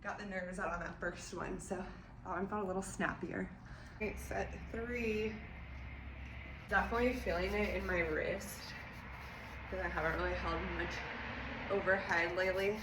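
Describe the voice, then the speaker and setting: A woman's voice: medium pitch, steady tone, moderate pace, long pauses. Young woman, bit nasal n winded, lifting vlog in a garage, mic far off, echoey w/ background noise. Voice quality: a little nasal from congestion. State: winded between lifts. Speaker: vlogger and former weightlifter. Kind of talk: casual training vlog with coaching tips. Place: home garage. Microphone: camera set up away from her.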